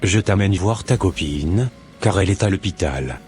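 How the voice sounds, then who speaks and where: Guy doing a smitten husband in a church cartoon, real deep and husky, bit of music under. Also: animated, normal speed, darkish tone. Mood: matter-of-fact. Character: besotted husband under a spell.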